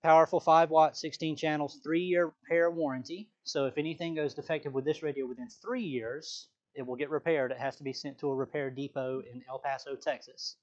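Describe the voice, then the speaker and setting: A man's voice: fairly high pitch, steady tone, fairly quick, hardly any pauses, highs cut off. A man presenting a live product training session as a sales trainer, explaining points plainly and checking in with the occasional "right?".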